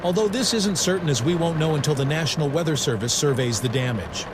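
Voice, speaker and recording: A man's voice: fairly high pitch, quick pace, natural voice. A man playing a concerned TV meteorologist, explaining live storm coverage in an urgent, conversational way. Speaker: weather broadcast presenter. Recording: noisy amateur recording.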